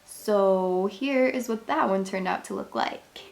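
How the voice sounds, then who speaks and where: A woman's voice: mid-range voice, unhurried, creaky, over music. A woman hosting a craft tutorial, giving chatty step-by-step instructions, her voice congested and a little worn. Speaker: craft channel host.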